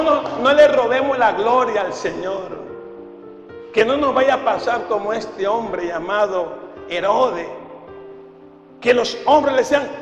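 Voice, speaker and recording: A man's voice: very high-pitched, lo-fi sound. An older man preaching an impassioned sermon as a pastor, emphatic, with rhetorical questions and repeated phrases. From Ecuador. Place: large church hall, indoors, before a congregation. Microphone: handheld microphone through PA. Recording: echoey church hall, music behind.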